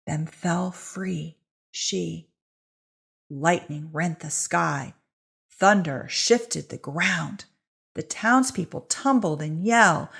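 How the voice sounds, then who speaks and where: Husky, creaky woman narrating dramatic fiction. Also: lowish voice, fairly bright tone. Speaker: story narrator.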